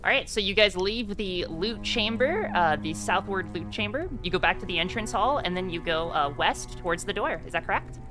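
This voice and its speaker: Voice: mid-range voice, expressive, normal speed, few pauses. Game master with music behind. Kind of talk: narrating a tabletop roleplaying game online.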